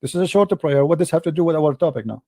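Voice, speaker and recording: A man's voice: a little high, animated, fast, warm tone, dry recording, clean studio sound. A man hosting a religious debate, pressing his points insistently and repeating himself in nonstandard English. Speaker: debate host.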